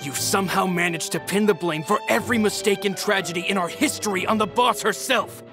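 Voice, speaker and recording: A loyal henchman in a video game scene. He is high-pitched for a man and fervent, with emphatic exclamations over background music. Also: a little fast. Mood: indignant, urgent. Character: loyal, fervent male henchman of a gang boss.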